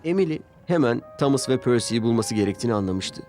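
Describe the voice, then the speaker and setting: A man's voice: mid-range voice, expressive, quick pace, a little mellow. A man narrating a scripted children's story as its storyteller. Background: music under the voice.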